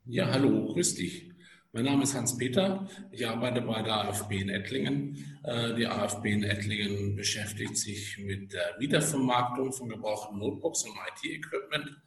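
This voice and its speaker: A man of about fifty introducing himself as an interview guest, speaking haltingly and restarting his phrases. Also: lowish voice, slight background noise. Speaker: podcast guest, office employee in leasing. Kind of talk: halting spontaneous self-introduction. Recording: roomy, echoing indoor sound.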